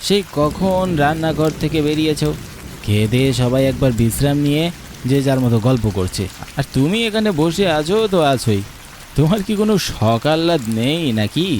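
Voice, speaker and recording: A man's voice: on the high side, expressive, a little fast, darkish tone, slight background noise, close to an everyday voice. A man voicing a playful, coaxing young husband in a cartoon, in a teasing, cajoling manner. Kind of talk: cartoon voice acting. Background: music and rain.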